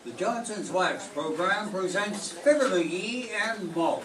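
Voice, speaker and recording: An older man as the announcer, reading in a measured, formal 1940s radio style. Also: high voice, expressive, very noisy. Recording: echoey room from far off, music behind.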